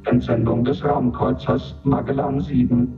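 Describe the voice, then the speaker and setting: An actor playing an impersonal official who reads out formal reports through a radio-like filter in a radio drama. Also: male voice, medium pitch, steady tone, a little slow. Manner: formal, clipped, matter-of-fact announcements. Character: impersonal official voice reading out reports and roll call. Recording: tinny narrow band, distant, music behind.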